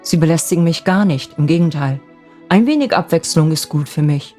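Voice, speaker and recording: A woman voicing an old bachelor in a storybook fairy tale, awkward and restrained but softening. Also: low voice, lively intonation, brisk. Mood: brisk, softening. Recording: music bed under the voice.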